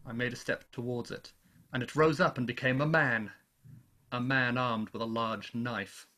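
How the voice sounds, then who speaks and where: A man's voice: mid-range voice, fairly even intonation, moderate pace, bright, forceful tone, lightly acted. An actor playing a weary, lonely man who survived an invasion, speaking in a solemn, literary manner in a radio play. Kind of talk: dramatic radio play narration and dialogue.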